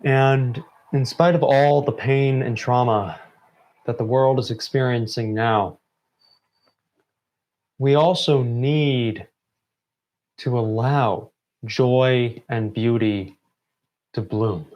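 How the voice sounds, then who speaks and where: A man delivering a prepared closing address in deliberate phrases broken by pauses. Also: medium pitch, steady tone, a little mellow. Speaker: member of a student theatre group giving closing remarks.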